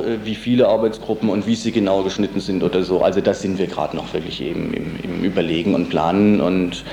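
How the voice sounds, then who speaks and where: A man being interviewed on the radio as a political organizer, speaking spontaneously and haltingly, often searching for words. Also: lowish voice, gravelly, dark tone. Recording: roomy, echoey, faint background noise.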